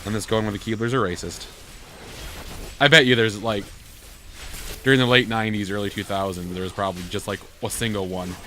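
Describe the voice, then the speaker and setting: Low-voiced man bantering over a game, noisy recording. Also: fairly even intonation, a little fast, long pauses. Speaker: gaming video co-host and player. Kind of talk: casual banter while playing a game. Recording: noisy home gameplay recording.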